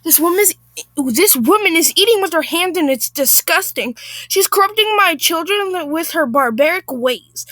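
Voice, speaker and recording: Storytelling role: woman playing entitled diner, shrieking with indignation, very high pitch, close dry sound. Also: lively intonation, unhurried, few pauses, dry recording, exaggerated voice. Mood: indignant. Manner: shrill, indignant, shouting.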